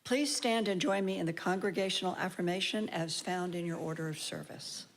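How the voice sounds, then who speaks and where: A woman with a somewhat low voice leads a congregation through its affirmation and weekly announcements. She reads in a measured, formal way into a lectern mic in a sanctuary. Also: fairly even intonation. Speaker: worship service leader. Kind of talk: congregational welcome and liturgy.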